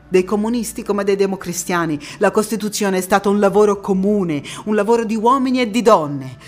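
An actress playing a proud, spirited young female parliamentarian recounting memories in an expressive, emphatic stage monologue. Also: medium pitch, close to an everyday voice. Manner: expressive, deliberate, emphatic phrasing. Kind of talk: theatrical first-person monologue.

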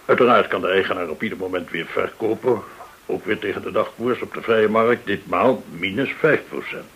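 An actor in a radio drama playing a courteous, smooth-talking gold dealer, effusively polite and theatrical in manner. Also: male voice, on the low side, moderate pace, highs cut off, natural voice. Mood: smooth, explanatory. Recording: hissy old radio drama recording.

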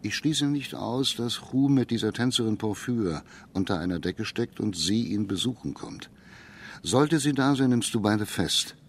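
A low-voiced, commanding judge. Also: male voice, lively intonation, slight background noise. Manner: measured, commanding, formal. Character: authoritative, composed judge and magistrate of imperial China. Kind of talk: German radio crime drama acting.

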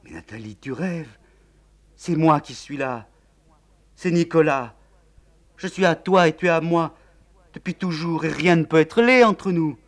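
A man's voice: on the high side, expressive, a little slow, long pauses, voice pushed up. A man voicing an ardent young man who pleads passionately with the woman he loves. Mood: pleading. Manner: pleading, impassioned.

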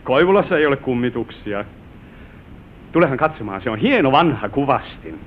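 An actor playing an affectionate, proud young newlywed husband in an old Finnish radio play, with a gallant, expressive stage delivery. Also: male voice, natural voice. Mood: gallant, playful. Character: affectionate newlywed husband proudly showing his bride the family manor. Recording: narrow-band, hissy vintage radio recording with rumble.

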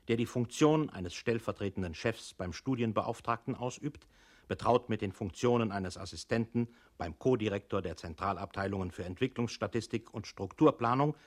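An actor playing a dry bureaucratic narrator in a radio play, speaking in a formal, measured, officious way. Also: male voice, fairly low pitch, close to an everyday voice.

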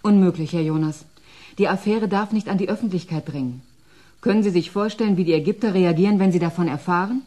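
A cool female bureaucrat played by an actress in a sci-fi radio drama. Her voice is fairly low and dark in tone. She speaks formally and briskly, with a touch of condescension. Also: close to an everyday voice. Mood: grave, discreet. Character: formal, cool female senior culture official. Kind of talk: German radio play acting.

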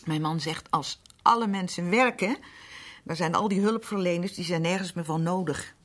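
A woman's voice: fairly low pitch, moderate pace, a bit bright. An actress playing a chatty middle-aged working-class mother who rambles and defers to her husband, in radio drama. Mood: confiding. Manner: rambling, repetitive, seeking confirmation. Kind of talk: naturalistic Dutch radio drama acting.

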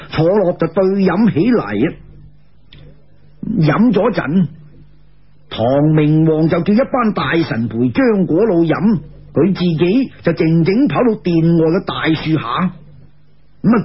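A man with a Cantonese accent telling folk tales in a colloquial, expressive storyteller's style. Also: high-pitched, slightly rough. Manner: colloquial, expressive, with conversational particles. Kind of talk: traditional folk-tale storytelling narration. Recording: dull, lo-fi radio-like recording.

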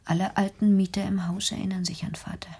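An actress playing a sheltered, defiant grown daughter in a radio drama, speaking in a hushed, intense way. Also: female voice, mid-range voice, level tone, fast. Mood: insistent. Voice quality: hushed, breathy, husky. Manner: intense, insistent, accusing. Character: sheltered, defiant 38-year-old daughter confined at home. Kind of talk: German radio drama acting.

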